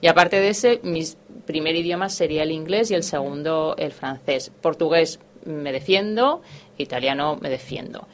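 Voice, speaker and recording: Low-voiced Aragonese woman, chatty guest. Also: moderate pace, slight background noise. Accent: Zaragoza Spanish. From Spain, Aragon. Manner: chatty, laughs easily, restarts phrases. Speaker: guest, Spanish official in Brussels. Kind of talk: relaxed radio interview answers.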